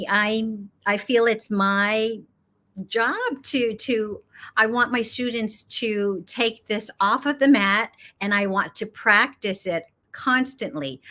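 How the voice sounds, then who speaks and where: A middle-aged woman from Los Angeles, a yoga teacher speaking as an interview guest in an unhurried way, laughing often and pausing with frequent "um"s. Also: medium pitch, bright, forceful tone. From Los Angeles, United States. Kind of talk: casual interview chat.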